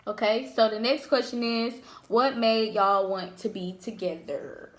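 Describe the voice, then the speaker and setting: A woman, chatting and telling stories in an animated way during a couple's Q&A. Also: a little high, a little slow. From Jackson, Mississippi. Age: 20s. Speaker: co-host of a couple's channel. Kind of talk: casual Q&A chat, storytelling. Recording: echoey room.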